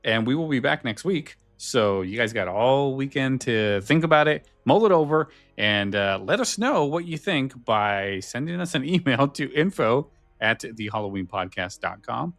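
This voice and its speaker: A man hosting a podcast in casual chat, halting a little and correcting himself as he goes. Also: medium pitch, lively intonation, fairly bright tone, no room sound, faint music behind. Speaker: podcast host. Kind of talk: casual chat and show sign-off.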